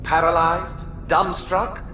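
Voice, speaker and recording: A man's voice: sits high, level tone, slow pace, music in the background. A man voicing a haughty, scornful alien prophet in a sci-fi game, sneering and theatrical. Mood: scornful, indignant. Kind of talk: cinematic sci-fi game acting. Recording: orchestral score behind.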